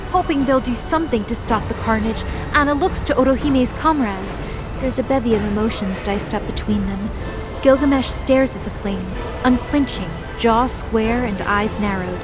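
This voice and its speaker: A woman's voice: fairly high pitch, moderate pace, poor audio. A woman reading scripted narration for an audio drama. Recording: loud music behind the voice. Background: loud music.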